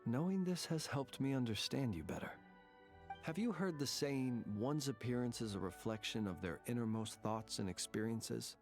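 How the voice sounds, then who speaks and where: A man acts out an ancient dragon prince for a video game. His speech is formal and measured, gently courteous and reflective. He sounds calm and wistful, at a middling male pitch over music. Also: lightly acted. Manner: formal, measured, old-fashioned courteous phrasing. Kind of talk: scripted game character dialogue.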